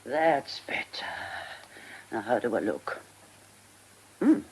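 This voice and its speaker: Casting: eccentric faded older diva, radio drama monologue, theatrical and halting, very unhurried, faint noise on the recording. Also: female voice, extremely low pitch, big pitch swings, exaggerated voice. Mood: fussy, musing. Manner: theatrical, halting, breathy muttering to herself. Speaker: actress.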